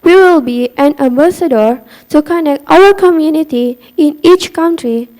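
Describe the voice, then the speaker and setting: A woman's voice: high voice, moderate pace, darkish tone, rough recording. A young woman from Indonesia giving a prepared student presentation, reciting numbered points carefully. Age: young adult.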